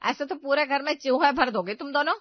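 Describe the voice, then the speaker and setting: Storybook matriarch acted by a female narrator: older, scolding, emphatic, fairly high, slightly dull sound. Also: fairly quick, no room sound, lightly acted. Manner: emphatic, nagging. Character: older mother-in-law, loud, scolding, protective family matriarch.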